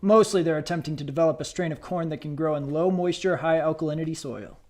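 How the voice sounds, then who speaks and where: A calm government scientist in a radio drama, played by a man, with a fairly high male voice. He explains things in a matter-of-fact way. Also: fast talker, lightly acted. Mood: explanatory. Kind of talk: dramatized radio play acting.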